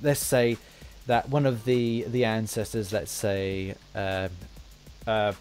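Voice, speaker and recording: A man hosting a casual interview, speaking in a halting, tentative way and often rephrasing himself. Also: lowish voice, little pitch movement, a little mellow, faint music behind. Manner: halting, tentative phrasing with stumbles. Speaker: interviewer, podcast host.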